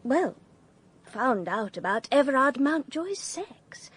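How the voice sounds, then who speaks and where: High-voiced young English society girl. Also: slow, breathy edge, full character voice. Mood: nervous, confiding. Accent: upper-class English. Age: young adult. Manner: chatty, confiding, excitable. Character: nervy, girlish young 1920s English society woman. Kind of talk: period radio drama acting.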